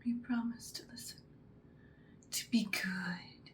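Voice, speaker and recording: Slow whispering ancient vampire woman, acted for ASMR. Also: medium pitch, fairly even intonation, natural voice. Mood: menacing, stern. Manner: slow, deliberate, breathy whispering. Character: ancient female vampire slayer, seductive, stern, menacing. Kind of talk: ASMR personal-attention roleplay, whispered.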